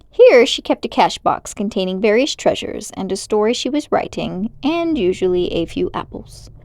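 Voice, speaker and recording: A woman reading a children's story aloud as an expressive narrator. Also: medium pitch, no echo.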